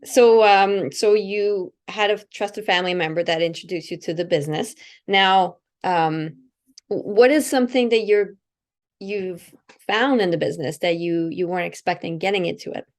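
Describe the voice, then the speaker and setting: A woman's voice: lowish voice, normal speed. A woman hosting a video call interview, asking questions in a friendly, encouraging way with many fillers. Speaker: host, interviewer. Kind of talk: casual interview chat.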